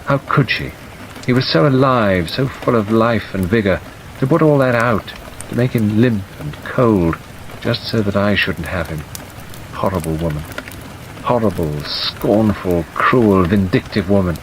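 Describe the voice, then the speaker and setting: A man's voice: on the low side, measured pace. Man reading as a bitter, grieving young woman. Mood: bitter hatred. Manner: emphatic, repeating phrases. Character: bitter, grieving, jealous young woman recalling her lover's death. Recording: steady rain noise over the voice. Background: rain ambience.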